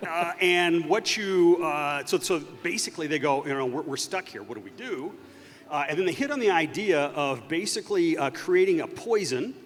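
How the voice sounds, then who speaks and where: A man telling a story off the cuff as a panelist at a live fan panel, speaking in a halting, thoughtful way. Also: fairly high pitch, expressive. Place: large convention stage. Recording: echoey hall PA with faint noise.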